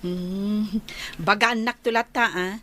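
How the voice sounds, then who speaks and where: A woman's voice: mid-range voice, fairly even intonation, takes it slowly, sharp tone, slight background noise. A woman playing a friendly, chatty, caring elder sister in a radio drama, with a Filipino accent. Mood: helpful.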